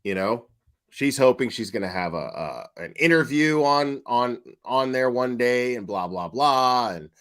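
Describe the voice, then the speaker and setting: A man's voice: medium pitch, expressive, measured pace, a bit bright. A man giving casual, sweary reaction commentary in a blunt, mocking, sardonic manner. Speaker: commentator reacting to online true-crime streamers.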